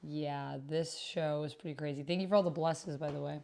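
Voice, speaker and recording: A woman streamer chatting casually and reading typed roleplay aloud, sometimes halting as she types along. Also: deep voice, fairly even intonation, vocal fry, warm tone. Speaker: streamer playing an online roleplaying game.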